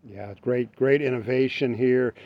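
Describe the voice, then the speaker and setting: Male host interviewer, halting questions, dry muffled recording. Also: mid-range voice, fairly even intonation, dry recording. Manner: halting questions with many fillers.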